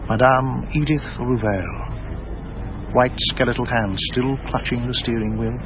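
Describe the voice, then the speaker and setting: An actor playing a wry, literary art dealer, narrating his own story in measured, florid phrases for radio drama. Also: mid-range voice, fairly even intonation, muffled recording, background noise, close to an everyday voice. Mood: dramatic, wry.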